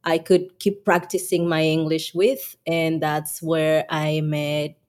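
A Mexican woman whose first language is Spanish talks as a guest on an interview podcast. Her voice sits low, and she takes her time, laughing lightly between thoughts. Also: flat delivery, slow pace, darkish tone. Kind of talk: casual interview chat.

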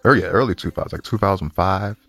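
A man's voice: low-pitched, quick pace, fairly bright tone, no echo, faint music behind. A man co-hosting a podcast, chatting casually with a bantering, mock-exasperated manner. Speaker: podcast co-host.

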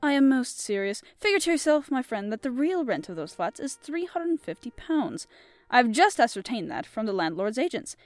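A woman's voice: a little high, big pitch swings, no room sound, voice pushed up. A woman doing a fussy, formal old detective. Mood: deliberate. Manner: deliberate and formal, attempting a French accent. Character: fussy, precise older male Belgian detective.

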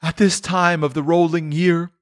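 A man voicing an anguished, mournful old male ghost in chains, declaiming in a drawn-out lament. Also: a little high, level tone, dry recording, studio quality, voice pushed up, natural voice.

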